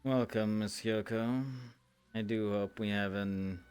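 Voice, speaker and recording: A man's voice: lowish voice, steady tone, takes it slowly, a little mellow, clear, resonant tone, soft background music. A man playing a formal, polite guildmaster in a fantasy roleplay game. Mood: courteous, businesslike. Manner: courteous, formal phrasing.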